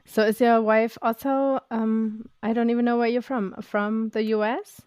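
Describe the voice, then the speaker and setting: A woman hosting a podcast, chatting in a relaxed way with plenty of fillers. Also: fairly high pitch, moderate pace, clean tone, no echo, clean studio sound. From Germany. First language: German. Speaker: podcast host. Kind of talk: casual podcast interview. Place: home in Texas, on a call.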